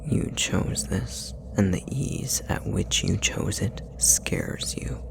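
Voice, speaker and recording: A man voicing a possessive, manipulative demon in an intimate ASMR roleplay, whispering with a gravelly fry in a deliberate, taunting way. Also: deep voice, full character voice. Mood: cold, accusing. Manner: slow, deliberate, taunting, condescending. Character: possessive, manipulative male puppetry demon, coldly menacing.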